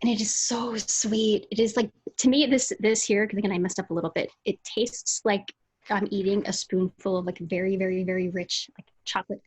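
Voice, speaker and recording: A woman in her thirties with a husky edge to her voice talks on a video call. She is chatty and animated, keeping things casual as a co-host in a book discussion. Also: medium pitch, brisk. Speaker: podcast co-host, author. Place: small room at home.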